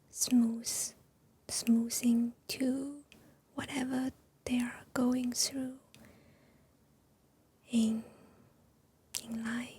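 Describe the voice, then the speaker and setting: Soft, slow whispering woman. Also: on the high side, slightly rough. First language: Chinese. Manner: soft unvoiced whisper with many pauses and fillers. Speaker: ASMR creator. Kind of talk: whispered ASMR chat.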